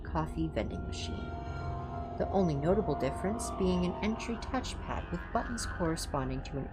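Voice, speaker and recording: A woman reading aloud in an informal ASMR livestream style, in a measured, careful way. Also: low voice. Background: music.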